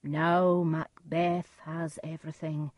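A suspicious storybook nobleman, played by a woman. He muses in a low, quiet voice, slow and brooding. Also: breathy edge, no room sound, exaggerated voice. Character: wary, brooding Scottish nobleman.

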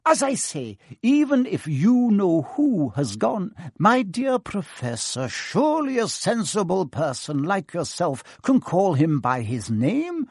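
A man's voice: sits high, wildly swooping pitch, takes it slowly, few pauses, breathy edge, no echo, clean studio sound, exaggerated voice. A man voicing a stern, prim older woman professor of witchcraft who talks in a clipped, impatient way. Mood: impatient scolding. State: trembling with shock at the end. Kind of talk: audiobook character voice.

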